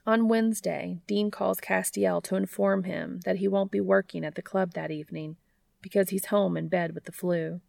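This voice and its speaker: A woman reading scripted fiction aloud as a story narrator, with a creaky voice. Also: medium pitch, fairly even intonation, normal speed, darkish tone, no room sound. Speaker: audiobook narrator of fan fiction.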